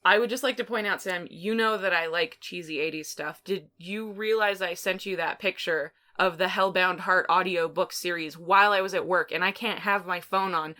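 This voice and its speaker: A woman's voice: fairly low pitch, moderate pace, hardly any pauses, pushed and bright. A woman hosting a podcast in a casual, conversational way, rambling a little and correcting herself as she talks. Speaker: podcast host. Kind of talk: casual conversational film discussion.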